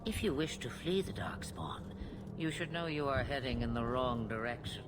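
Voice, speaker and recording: An older woman playing an ancient, cryptic witch in a fantasy game, teasing and theatrical, with a breathy, husky edge. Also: rumbling low, expressive, over music. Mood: sly amusement. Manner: slow, amused, teasing, with dramatic pauses. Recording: loud background noise.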